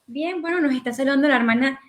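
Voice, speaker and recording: A woman's voice: sits high, fast. Woman reading viewer greetings aloud. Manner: steady, list-like reading.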